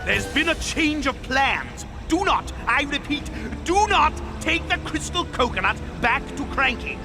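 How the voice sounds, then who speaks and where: Cartoon crocodile tyrant, very high voice, wildly swooping, pompous, grandiose, short-tempered, theatrical. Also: male voice, big pitch swings, exaggerated voice. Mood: exasperated. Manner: pompous, grandiloquent, drawn-out emphatic delivery. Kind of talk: theatrical cartoon villain acting. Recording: cartoon soundtrack with loud music behind.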